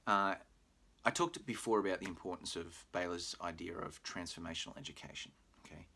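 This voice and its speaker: A man's voice: low voice, brisk, creaky, sharp tone, husky. A middle-aged man with an Australian accent, a university program manager giving a recorded admissions talk in a friendly, explanatory way with frequent fillers, speaking to a webcam in a room at home. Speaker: pre-law program manager. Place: home room with bookshelf, indoors.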